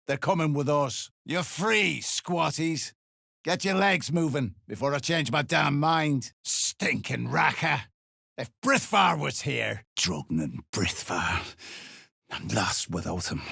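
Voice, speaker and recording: A man voicing a foul-mouthed, menacing duergar thug in a video game, gruff and coarse with slangy talk. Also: on the high side, sharp tone, dry recording, studio quality, full character voice. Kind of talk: video game character acting.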